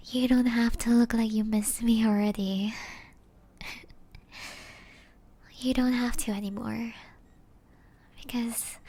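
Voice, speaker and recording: A young woman playing a tender, remorseful ex-girlfriend in an ASMR roleplay, whispering softly and intimately with breathy hesitation. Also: a little high. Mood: affectionate, reluctant.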